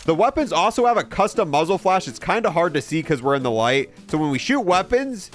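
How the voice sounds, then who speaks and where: Lively higher-voiced man reviewing a video game. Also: a little fast, soft background music. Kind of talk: casual gameplay commentary.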